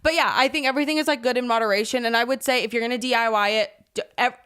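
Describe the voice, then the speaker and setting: A woman's voice: on the high side, steady tone, rapid-fire, a bit bright, smooth. A young woman hosting a podcast in a casual, slangy, rambling way, peppering her talk with "like". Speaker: podcast host.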